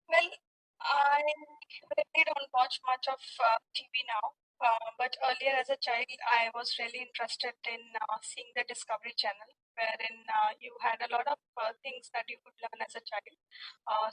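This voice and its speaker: Bright, high-voiced Indian-accented woman, hesitant. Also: rough recording. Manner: hesitant, halting. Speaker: English learner, test candidate. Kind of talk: unscripted spoken exam answers.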